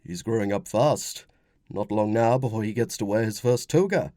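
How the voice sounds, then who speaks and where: A man voicing a Roman commander and father in an audiobook, gruff, affectionate and authoritative. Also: lowish voice, normal speed, dark tone, no echo, studio quality, natural voice. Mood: fond. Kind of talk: audiobook character dialogue.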